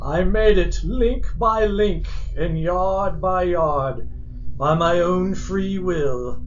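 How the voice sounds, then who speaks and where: A mournful male ghost, declaims slowly and solemnly in a high-pitched voice. It is stern radio drama, on a dull recording with noise behind it. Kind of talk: theatrical radio drama acting.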